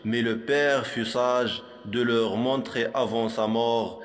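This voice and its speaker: Man, poetry recitation, slow pace, didactic, medium pitch, long echoing reverb. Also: fairly even intonation, faint music behind. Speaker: poem reciter for a primary school lesson.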